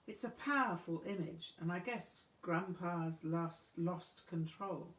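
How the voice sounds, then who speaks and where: A woman reading a memoir aloud as scripted narration, voicing the dialogue within it. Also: on the low side. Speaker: audiobook reader. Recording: roomy, echoing room sound.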